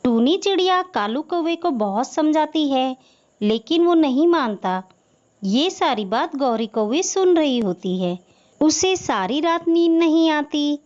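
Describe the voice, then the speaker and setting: A woman's voice: high voice, animated, a little slow, darkish tone, highs cut off. A woman narrator reading a scripted children's moral story.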